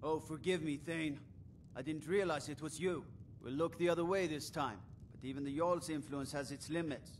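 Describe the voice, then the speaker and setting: An actor playing a stern, officious town guard in a fantasy video game, speaking formally. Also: male voice, on the high side, normal speed, a little hiss or hum, natural voice. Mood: deferential, warning. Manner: formal, admonishing. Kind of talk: video game character dialogue.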